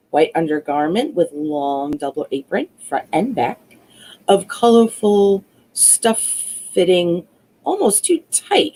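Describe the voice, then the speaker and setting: A woman reading a classic novel aloud as an audiobook narrator, expressive but a little halting in places. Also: mid-range voice, rough recording. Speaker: audiobook reader.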